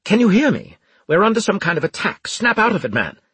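A haughty male officer, acted out by a male audiobook reader, snaps in a raised, husky voice. He is clipped, sarcastic and always demanding. The pitch leaps about. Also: very animated, moderate pace, dry recording, full character voice. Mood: indignant. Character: haughty, irritable male military officer, sneering and urgent.

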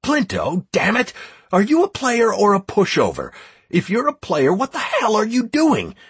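A man voicing a young male gamer leading a raid, barking urgent, forceful battle orders in a fantasy audiobook. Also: a little high, huge pitch swings, brisk, husky, voice pushed up, full character voice. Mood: angry, shouting. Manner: commanding, forceful shouting.

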